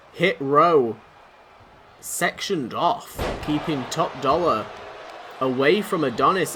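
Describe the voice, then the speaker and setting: A man rattles through excitable play-by-play of a wrestling video game, rambling and poking fun at himself. His voice sits a little high and is going hoarse from overuse. The recording carries a clear layer of background noise. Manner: excitable, rambling, self-deprecating. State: losing his voice. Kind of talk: play-by-play commentary. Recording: noisy background.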